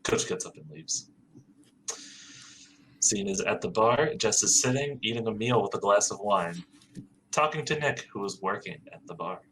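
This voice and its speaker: Man, podcast host, reading stage directions, matter-of-fact, low voice. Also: expressive, moderate pace, pauses often, fairly bright tone, slight background noise, rough recording.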